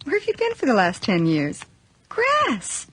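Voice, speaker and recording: A woman's voice: on the high side, huge pitch swings, a little slow, a bit bright, heavily acted. An actress playing a chatty, nervous young city-girl student with a hesitant, rambling manner in a horror radio drama. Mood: relaxed, playful. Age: young adult. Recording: noisy radio drama recording with clicks.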